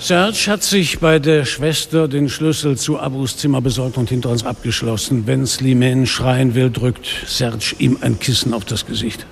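An actor playing a weary, sardonic middle-aged police inspector, telling his story in a radio drama in a dry, measured, gravelly voice. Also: medium pitch, fairly even intonation, slight background noise, natural voice. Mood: resigned narrating. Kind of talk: first-person radio drama narration and dialogue.

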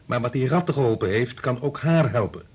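An actor playing a cynical, pushy husband and scientist in a radio play, sardonic and dismissive. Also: male voice, mid-range voice, lively intonation, fairly quick, darkish tone, slightly rough. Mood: pushy, persuasive. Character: cynical, pushy biologist husband and father, belittling. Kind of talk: Dutch radio play acting.

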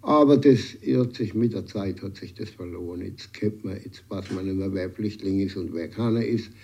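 A man recalling things haltingly in an informal interview. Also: low voice, dark tone, muffled recording. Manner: hesitant, halting, dialect-tinged. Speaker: villager being interviewed. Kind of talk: spontaneous interview recollection.

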